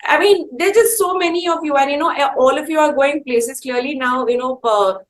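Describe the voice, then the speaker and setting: A woman's voice: sits high, moderate pace. A woman with an Indian accent hosting an interview in an enthusiastic, effusive, conversational way. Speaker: interviewer and show host.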